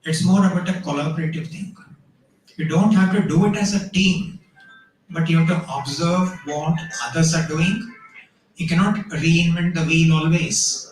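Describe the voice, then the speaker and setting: A man, a college principal giving an earnest, measured address to students at a tech fest. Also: fairly high pitch, steady tone. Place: large hall. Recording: echoey hall from far off, faint noise.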